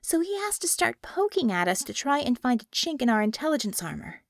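A woman's voice: high-pitched, big pitch swings, a little fast, dry recording, clean studio sound, voice pushed up. A woman voicing a brash, impatient female team leader in an audiobook, speaking emphatically with wry sarcasm. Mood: persuasive. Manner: emphatic, exclaiming, sardonic.

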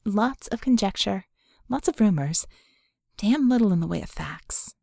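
A woman voicing an earnest, curious and skeptical female mage in an audiobook, speaking in a halting, candid, conversational way. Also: mid-range voice, animated, creaky, a little mellow, dry recording, clean studio sound.

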